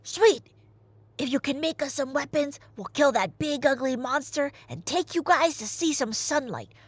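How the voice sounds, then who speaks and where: A woman's voice: high-pitched, expressive, moderate pace, no echo. A woman voicing a brash young male god as a chummy, conspiratorial persuader in a myth retelling. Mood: sly, eager. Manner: conspiratorial, slangy salesman patter.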